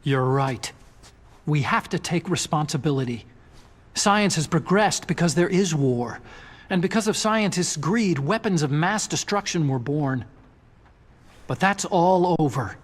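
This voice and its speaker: An actor playing an earnest, nerdy robotics scientist in a video game cutscene, with a boyish, eager manner. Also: male voice, on the high side, animated, unhurried. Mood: remorseful.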